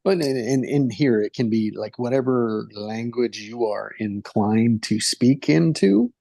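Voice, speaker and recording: Slow gravelly man with a mellow tone. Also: medium pitch, no room sound. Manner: rambling, filler-heavy, gentle. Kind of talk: casual conversation.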